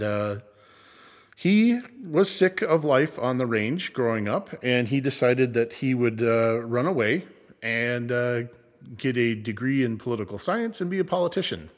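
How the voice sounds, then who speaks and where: A man from California who later lived in Minnesota, chatting casually and unscripted, halting and correcting himself as he rambles. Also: medium pitch. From San Francisco Bay Area, then Minnesota. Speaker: co-creator and actor of a fictional small-town show. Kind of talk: casual unscripted backstory discussion. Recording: echoey room with long reverb.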